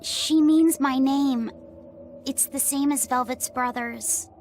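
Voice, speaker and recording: A woman voicing a gentle, curious young boy in a video game, earnest and polite. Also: high voice, fairly even intonation, takes it slowly, slight background noise. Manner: earnest, questioning. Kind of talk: English video game voice acting. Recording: game music under the voice.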